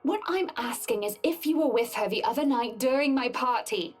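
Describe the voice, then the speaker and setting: An actress playing a poised, weary, sardonic wife in a game, with a measured, dry delivery. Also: female voice, a little high, expressive, natural voice. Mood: guarded, probing. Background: game music.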